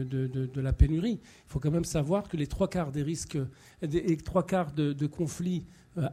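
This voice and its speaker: Male public speaker from Morocco, very dark mellow tone, slightly high pitch, halting unscripted activist delivery. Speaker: food anthropologist and chef, speaker at a public event. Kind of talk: impromptu public talk, activist.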